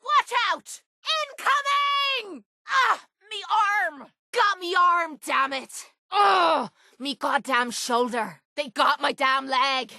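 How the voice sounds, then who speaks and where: Tough, brash Irish brawler. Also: female voice, sits high, expressive, takes it slowly, vocal fry, bright, forceful tone, dry recording, clean studio sound, heavily acted. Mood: hurt, angry. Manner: brash, sarcastic, earthy slang. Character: tough, foul-mouthed, sardonic female brawler with a violent streak. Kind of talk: video game character voice lines.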